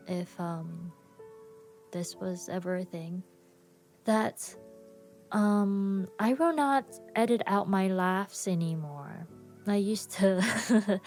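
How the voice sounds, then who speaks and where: Tired, gravelly-voiced woman rambling on a podcast. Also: medium pitch. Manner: laughs often, many pauses and fillers. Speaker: podcast host. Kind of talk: casual solo podcast rambling. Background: soft background music.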